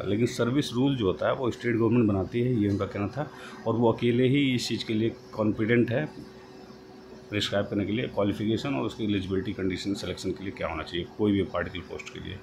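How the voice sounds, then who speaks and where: A man giving an informal explanatory update, halting and rephrasing as he goes, mixing in English terms. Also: on the low side. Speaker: host of a job-news channel. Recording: noisy home-made voice-over, background noise.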